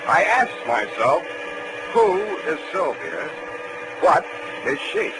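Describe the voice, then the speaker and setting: Deadpan hard-boiled radio detective. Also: male voice, fairly high pitch, slow, very noisy, heavily acted. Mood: tense, wry. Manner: deadpan, wisecracking. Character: wry, hard-boiled male private detective. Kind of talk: 1940s radio detective narration. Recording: old 1940s radio broadcast, music behind.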